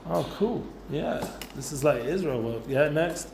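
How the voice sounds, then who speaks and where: A man's voice: on the high side, lively intonation, a little slow, gravelly, background noise. A man, talking casually to a youth group with lots of fillers and asides. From North London, England. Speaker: guest speaker for students. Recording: roomy echoey room.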